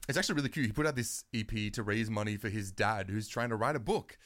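A man's voice: fairly low pitch, fast talker, a bit bright. A young man hosting a casual radio show, chatting and rambling with lots of fillers. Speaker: radio host.

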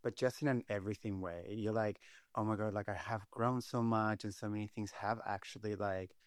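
Guest: young man from Chile, low-pitched, animated and gushy, dry close sound. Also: fairly quick, dry recording. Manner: animated, chatty, calls people honey and babe. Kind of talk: casual chat.

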